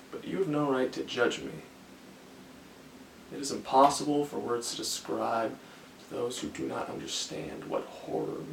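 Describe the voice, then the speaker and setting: A man performing a dramatic monologue as a haunted, brooding army officer, speaking in a halting, deliberate way with long pauses. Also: mid-range voice, creaky. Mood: brooding, menacing. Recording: echoey room, distant mic, faint noise.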